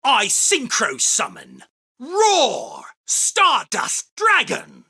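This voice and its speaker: A man voicing an arrogant, theatrical, self-proclaimed king of card duels in an anime game, with a husky, forceful, declamatory delivery. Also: very high voice, huge pitch swings, drawn-out words, exaggerated voice. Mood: grand, commanding. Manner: pompous, boastful, declamatory. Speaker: voice actor. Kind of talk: anime game character battle lines.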